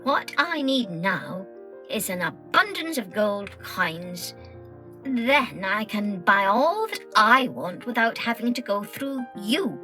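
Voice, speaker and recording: A woman's voice: medium pitch, very animated, soft background music, heavily acted. A woman voicing a haughty, demanding male magpie in a children's story, with a screechy, imperious, complaining delivery. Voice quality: bright, sharp, screechy. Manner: imperious, fussy, drawn-out complaining.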